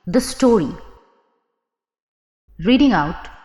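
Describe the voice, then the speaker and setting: A woman's voice: a little high, expressive, takes it slowly, darkish tone. A woman narrating a scripted horror story as an audio drama storyteller. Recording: echoey, long reverb under music. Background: music and birdsong.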